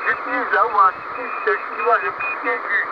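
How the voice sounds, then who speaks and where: A man's voice: high-pitched, steady tone, moderate pace. An older man from France chatting casually as a radio amateur, rambling and repeating himself, heard through a shortwave receiver. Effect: heard over shortwave radio receiver. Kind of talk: casual ham radio conversation. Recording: noisy, muffled shortwave radio through small speaker.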